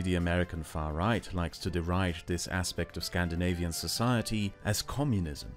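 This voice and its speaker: A man with a very low voice narrates history from a script, measured and explanatory. Faint music plays underneath his narration.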